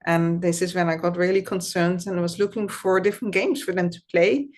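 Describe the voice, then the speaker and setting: A middle-aged woman with a Dutch accent answers interview questions over a video call. Her voice sits a little low, and she talks in a thoughtful, reflective way, laughing softly now and then. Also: steady tone, a little fast. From Netherlands. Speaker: guest, founder and system scientist. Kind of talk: conversational interview.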